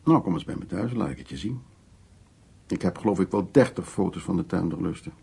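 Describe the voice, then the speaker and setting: A suave, lonely man of the world in a naturalistic radio play. His voice is gravelly, very hoarse-edged and dark. He muses and teases as he presses his courtship. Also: low voice, expressive, fairly quick, heavily acted. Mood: wistful, musing. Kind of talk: naturalistic Dutch radio drama acting.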